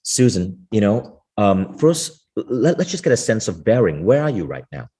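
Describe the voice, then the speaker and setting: A man's voice: fairly low pitch, very animated, normal speed, mellow, husky. A man hosting a podcast, introducing his guest with enthusiastic, effusive praise. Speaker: podcast host. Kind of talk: podcast interview.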